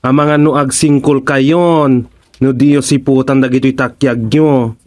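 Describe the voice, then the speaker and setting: A man with an Ilocano accent playing a bossy, unhurried masseur in a radio comedy drama, giving instructions with drawn-out interjections. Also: mid-range voice, steady tone, darkish tone, natural voice. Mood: instructing, brisk concern. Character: bossy, unhurried masseur ordering a patient about.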